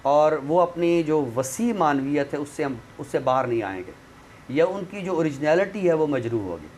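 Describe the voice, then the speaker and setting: A man's voice: fairly high pitch, expressive, normal speed, darkish tone. A man with a Pakistani accent discussing language and literature as an expert, explaining didactically with examples. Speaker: literary commentator and guest expert on a talk show. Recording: clear background noise.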